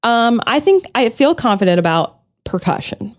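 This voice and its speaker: A woman's voice: on the high side, sing-song, normal speed. A woman co-hosting a podcast in casual chat, talking freely with lots of "like" and easy laughter. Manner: chatty, leans on "like", breaks into laughter. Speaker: podcast co-host.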